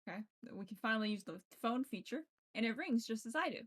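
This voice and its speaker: A woman streamer reading a visual novel aloud and adding casual, playful, expressive commentary. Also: on the high side, fast. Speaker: game streamer.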